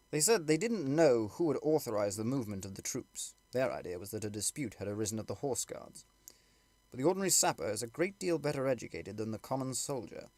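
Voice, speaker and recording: A man's voice: mid-range voice, darkish tone, dry recording. A man reading a literary story aloud as scripted audiobook narration.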